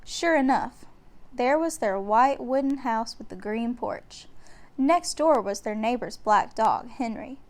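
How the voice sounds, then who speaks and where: Lady reading a kids bedtime book out loud, slightly high voice, really slow n expressive. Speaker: storybook reader. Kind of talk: children's bedtime story read-aloud.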